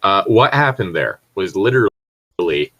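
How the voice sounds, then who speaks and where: A man's voice: deep voice, big pitch swings, measured pace, bright, forceful tone. A man in casual, opinionated conversation, talking bluntly and swearing heavily.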